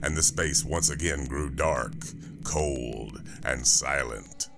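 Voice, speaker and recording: Extremely deep, gravelly male audiobook narrator. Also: slow, a bit bright, soft background music. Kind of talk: scripted science fiction thriller narration.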